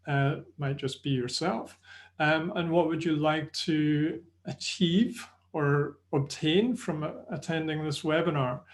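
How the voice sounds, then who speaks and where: A middle-aged man with a Scottish accent presents a live online lecture over a video call, friendly and chatty, with fillers and restarts. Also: a little high. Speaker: webinar presenter. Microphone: video call webcam.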